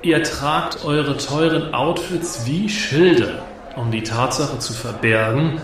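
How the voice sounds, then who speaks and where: A man's voice: on the high side, slow. A man in a radio play acting an arrogant cabaret provocateur who mocks his audience with sneering, theatrical delivery. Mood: scornful. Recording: echoey hall with audience crowd.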